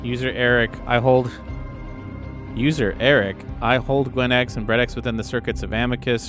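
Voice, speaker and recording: A man takes part in a tabletop role-playing game as a player. He talks informally at a middling pitch, with faint music under his voice. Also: fairly bright tone. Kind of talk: casual game table talk, some reading aloud.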